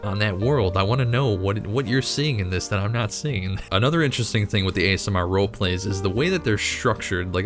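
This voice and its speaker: Low-voiced man chatting casually over music. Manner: rambling, incredulous joking with frequent self-interruption. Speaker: YouTube cartoon channel host.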